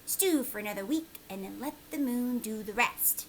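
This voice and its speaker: A woman voicing a peculiar, secretive old man from a storybook, speaking with urgent emphasis and sometimes a hush. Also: a little high, expressive, normal speed, slight background noise. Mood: mysterious. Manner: urgent, emphatic, hushed at times.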